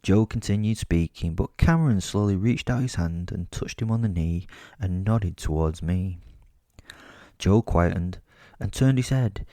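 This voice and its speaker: A man playing a bored, foul-mouthed werewolf, telling his story in a wry, conversational way for a dark comedy audio drama. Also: low voice, animated, measured pace, dry recording, clean studio sound. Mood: amused suspense. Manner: conversational, wry, casually sweary.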